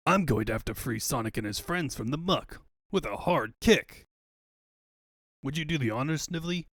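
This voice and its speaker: A man's voice: mid-range voice, lively intonation, normal speed, a bit bright, dry recording, clean studio sound. A man voicing a vain, pompous cartoon mad-scientist tyrant, grandiose and given to bellowed orders. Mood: gloating.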